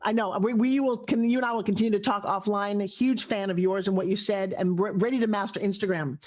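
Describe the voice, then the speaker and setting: A woman's voice: mid-range voice, steady tone, quick pace, darkish tone. A woman hosting a live audio chat room, speaking effusively and gushing over her guests. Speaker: host.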